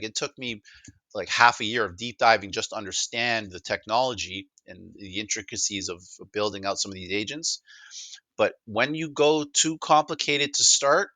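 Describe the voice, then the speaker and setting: Bright-voiced Canadian man presenting. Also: lowish voice, no echo, low-quality recording. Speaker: AI and digital automation consultant presenter. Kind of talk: informal business presentation.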